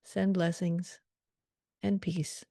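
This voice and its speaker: A woman leading a guided meditation prayer in a calm, soothing manner, leaving gentle pauses between short phrases. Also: lowish voice, gravelly, dry recording, studio quality.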